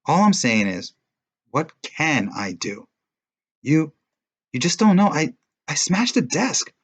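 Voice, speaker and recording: A man's voice: lowish voice, very animated, a little fast. A man voicing a shaken, defensive teenage boy who stammers and snaps back in a read-aloud story.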